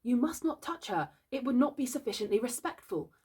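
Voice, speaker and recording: A woman's voice: fairly high pitch, expressive, a little fast, darkish tone, breathy edge, voice pushed up. A woman voicing a young boy leader in a storybook, stern and cocksure, with imperious, captainy delivery. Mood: firm. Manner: captainy, imperious, clipped orders. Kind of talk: storybook character voice.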